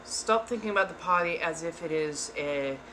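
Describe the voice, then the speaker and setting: A woman's voice: on the low side, normal speed, bright, forceful tone, a little hiss or hum, rough recording. A woman hosting live tarot card readings, speaking throughout in a theatrical put-on persona accent and calling her viewers darling. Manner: theatrical, calls listeners darling. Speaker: tarot reader and livestream host.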